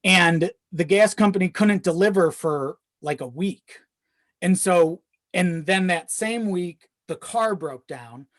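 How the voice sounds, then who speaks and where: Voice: medium pitch, takes it slowly, no room sound. A podcast guest chatting casually and telling personal stories in a rambling way, swearing freely and often chuckling mid-sentence. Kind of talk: casual chat, personal storytelling.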